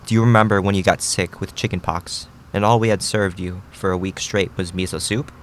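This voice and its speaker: A man playing a gentle, reflective middle-aged Japanese immigrant father in an audio drama, speaking in a measured, reassuring way. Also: deep voice, fairly even intonation, a little hiss or hum, lightly acted.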